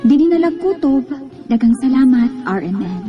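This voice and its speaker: A woman's voice: high voice, lively intonation, moderate pace. An actress playing a hurt, accusing young schoolgirl girlfriend in a Filipino radio drama, with a Filipino accent. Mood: bitter, reflective. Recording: dull lo-fi sound with faint music.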